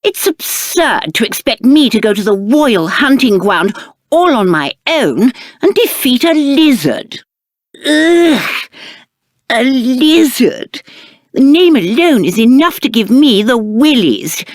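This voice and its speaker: A pampered, cowardly young prince from a video game, with a British accent. The voice sits high and leaps around theatrically, yet takes its time over each pompous phrase. It is petulant and self-important, recorded very dry and close. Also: male voice, sing-song, slow, no echo, heavily acted. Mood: disgusted, whiny. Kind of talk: video game character acting.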